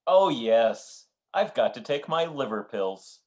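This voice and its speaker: A man's voice: medium pitch, sing-song, slow, a little mellow. A man playing a dry, cynical, teasing husband in an old-time radio comedy, with a wry, grumbling delivery. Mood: distracted.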